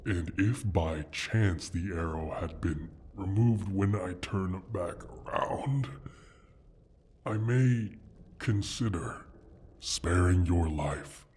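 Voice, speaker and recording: A man playing a proud, injured dragon in a fantasy audio roleplay, menacing and pompous, with a husky, breathy rasp. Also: sits low, a little mellow, a little hiss or hum. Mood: grudging, sly. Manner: pompous, disdainful, halting theatrical delivery.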